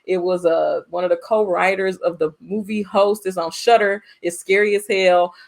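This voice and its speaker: An American woman co-hosting a casual movie podcast, telling stories in an animated, freely swearing way. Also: lowish voice, normal speed, vocal fry. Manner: animated storytelling with frequent profanity. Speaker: podcast co-host.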